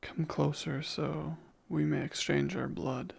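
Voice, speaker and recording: A man plays a devoted, yearning demon bound to a master in an ASMR romance. The voice is fairly high for a male, husky and thick with gravelly creak. The words come slowly, courtly and intimate. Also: fairly even intonation, exaggerated voice. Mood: yearning, tender. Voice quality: husky, breathy with heavy creak. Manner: formal, courtly, murmuring.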